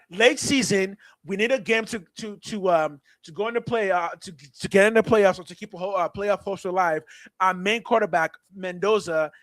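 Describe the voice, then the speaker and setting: Man, mixed nigerian-american accent, animated sports talk, high-pitched, dry close sound. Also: no room sound. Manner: animated, playful, stumbles over words.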